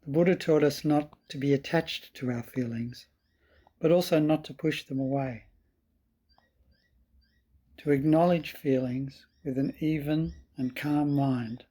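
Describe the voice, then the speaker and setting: A man with a slightly husky voice guiding a meditation in calm, measured, deliberate phrases. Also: medium pitch. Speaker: meditation teacher. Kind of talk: slow guided meditation.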